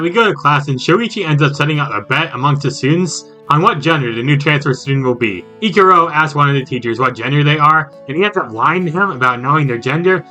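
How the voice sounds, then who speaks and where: A man's voice: fairly high pitch, sharp tone. A man narrating a scripted video game review as host, with jokey asides. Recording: music under the voice. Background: background music.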